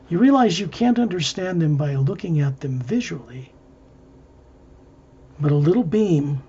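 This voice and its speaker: A man leading an improvised guided visualization, narrating in measured, halting short phrases. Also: on the high side, dark tone, husky, lo-fi sound. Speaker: guided meditation leader.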